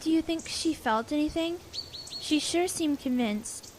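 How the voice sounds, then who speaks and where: Girl camper played by a female actor, young, earnest, uneasy, high register, amateur radio play. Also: a little slow, noisy background. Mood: earnest, curious. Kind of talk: amateur audio drama acting.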